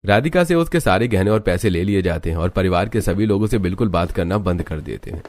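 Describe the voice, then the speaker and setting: A man's voice: low voice, lively intonation, fast talker, darkish tone, no echo, clean studio sound. A man narrating a scripted moral story as a storyteller.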